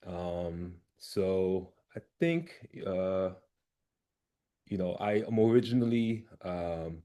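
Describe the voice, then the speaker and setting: A man from Cameroon leads a video call, and his voice sits low with a dark, mellow tone. He talks in a halting way, full of "um"s and restarts, as he presents his research. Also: expressive, smooth. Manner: halting, many fillers and restarts.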